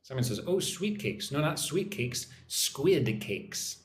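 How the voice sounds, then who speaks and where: An American man runs a relaxed English lesson on a livestream, reading out viewer comments and chatting back by name. His voice rises and falls in an animated way. The room has a noticeable echo with a faint hiss underneath. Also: medium pitch, slow. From United States. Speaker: English teacher. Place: home in Vietnam.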